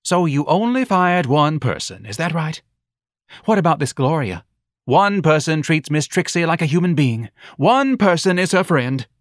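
A man's voice: fairly high pitch, very animated, moderate pace, no echo, clean studio sound, pitched above the performer's own voice. A man voicing a self-righteous, meddling wife who scolds and pleads theatrically, in an audiobook story. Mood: accusing.

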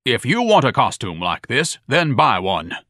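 Brief: male narrator voicing a pompous blowhard, lively swooping delivery, dry close sound. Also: medium pitch, unhurried, dry recording, exaggerated voice. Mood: haughty. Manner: bombastic, grandiloquent, scolding. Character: pompous huge eccentric man, lofty and indignant.

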